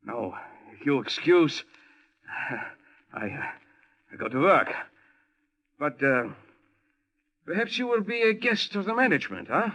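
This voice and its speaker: Nervous German restaurant manager, sharp bright voice. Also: male voice, a little high, very animated, heavily acted, poor audio. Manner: halting, stiffly formal foreign phrasing. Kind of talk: old-time radio detective drama acting. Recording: vintage radio recording, music underneath.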